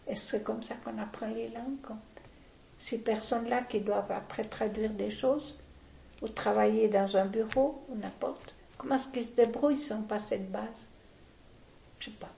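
Older lady, super gravelly, keeps restarting sentences, roomy echo. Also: fairly high pitch, steady tone, dark tone, slight background noise. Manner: deliberate, opinionated, frequent restarts. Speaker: interviewee. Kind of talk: casual reminiscing interview.

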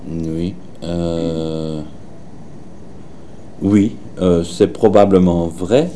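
This is a man with a marked Walloon Belgian accent being interviewed. His voice sits very low and mellow, and he speaks slowly and deliberately, filling his pauses with hesitations. A faint hiss of background noise sits under the voice. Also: fairly even intonation. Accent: Walloon Belgian French. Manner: hesitant, frequent filler pauses, measured. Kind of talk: survey interview answers.

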